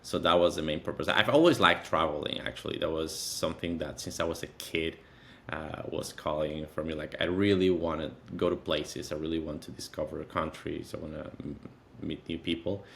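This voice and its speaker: A man's voice: very deep voice, steady tone, creaky, a bit bright, a little hiss or hum. A man in his thirties with a Venezuelan accent, a native Spanish speaker, answering interview questions conversationally and often correcting himself as he goes. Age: 30s. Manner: halting, self-correcting, repeats phrases. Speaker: interview guest, content creator.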